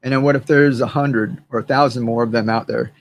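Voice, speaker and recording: Man, hoarse, mid-range voice, casual rambling livestream talk, fillers and restarts. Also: medium pitch, fairly even intonation, brisk. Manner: rambling, repeats words, lots of fillers. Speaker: gardening channel host. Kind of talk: casual livestream chat to viewers.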